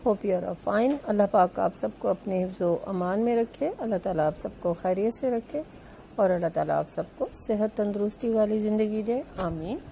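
A woman's voice: medium pitch, normal speed, creaky, dark tone, a little hiss or hum, poor audio. A woman host giving a chatty, repetitive voice-over, addressing her viewers as friends. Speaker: video channel host.

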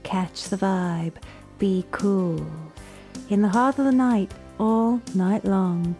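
A woman voicing a sultry late-night jazz radio announcer, slow and seductive in a station promo. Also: mid-range voice, warm tone, faint music behind.

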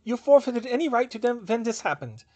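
A man voicing a stern, sharp older witch official in an audiobook. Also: very high voice, very animated, fairly quick, voice pushed up, exaggerated voice. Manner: sharp, unyielding.

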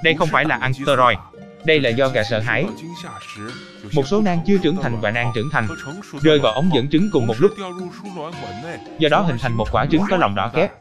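A man voicing cheeky talking plants and animals in a chatty, instructive animated explainer. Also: medium pitch, brisk, long pauses, music in the background, natural voice. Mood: explaining.

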